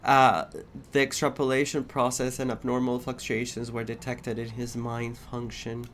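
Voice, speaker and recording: A man's voice: mid-range voice, monotone, normal speed, a bit bright, a little hiss or hum. A man doing playthrough commentary as a game streamer, reacting and reading along. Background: game music.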